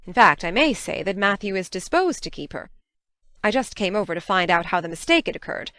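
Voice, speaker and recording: Casting: actress as no-nonsense middle-aged farm spinster, scolding, animated delivery, close dry recording. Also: female voice, medium pitch, brisk, no echo. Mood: measured, hesitant. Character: stern, brisk, practical middle-aged spinster farm woman. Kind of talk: audiobook dramatic reading.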